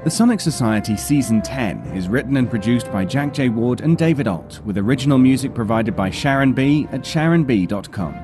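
A man's voice: medium pitch, lively intonation, fairly quick, few pauses. A man reading scripted credits as a podcast announcer. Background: music.

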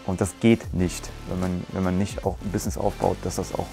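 A man in his forties, a martial arts school owner, earnestly giving a testimonial on a lapel mic in a bright room. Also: deep voice, little pitch movement, fairly quick, gravelly, music in the background. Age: 40s. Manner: earnest, explains at length with restarts. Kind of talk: testimonial interview. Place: bright room with curtains, standing.

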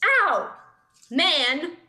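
A woman's voice: high-pitched, sing-song, very slow, pushed and bright, full character voice. A young woman playing a hungry, pleading female wolf in a play reading over a video call. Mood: hurt, indignant. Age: young adult. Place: small dim room at home, on video call.

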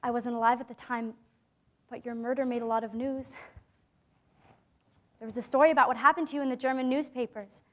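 A young woman in a staged reading, playing a gentle, hopeful teenage girl, with earnest, measured, articulate speech. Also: on the high side, fairly even intonation, natural voice. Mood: earnest, thoughtful. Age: young adult. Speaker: actor in a dramatic reading. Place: theatre-like stage in a conference hall. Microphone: lapel.

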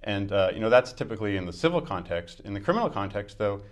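A man's voice: lowish voice, sing-song, fast. A man in his forties, answering interview questions fluently and argumentatively with fillers. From United States. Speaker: judicial candidate. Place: small studio with dark curtains. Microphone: lapel mic.

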